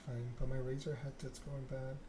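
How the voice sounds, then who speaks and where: Noisy bg, guy doing soft asmr game stream, pretty flat pitch, casual. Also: moderate pace. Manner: reads narrative text aloud, chats with viewers.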